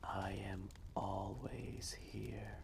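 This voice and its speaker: An ancient dragon, voiced by a man, whispers slowly and soothingly in a gravelly, heavily creaking voice. His tone stays flat and patient, almost unmoving. A clear layer of noise sits behind him, like a crackling fireside. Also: lowish voice, heavily acted. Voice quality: soft whisper. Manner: slow, soothing, comforting. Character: ancient gentle male dragon, protective, patient. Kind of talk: sleep roleplay ASMR. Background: crackling fire ambience.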